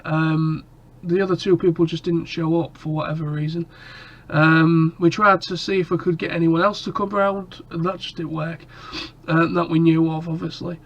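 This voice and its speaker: Man with a head cold, rambling vlog chat, high voice, muffled lo-fi sound. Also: flat delivery, moderate pace. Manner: rambling, lots of ums and restarts. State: stuffy nose and cough from a cold. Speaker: vlogger. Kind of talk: casual chat to camera.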